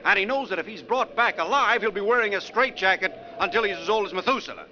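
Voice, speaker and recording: An actor playing a sarcastic, edgy, talkative bus passenger in a needling, theatrical 1940s radio style. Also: male voice, sits high, animated, fast talker, bright, forceful tone, heavily acted. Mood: speculative, intense. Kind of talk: 1940s radio drama acting. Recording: dull, noisy 1940s radio recording.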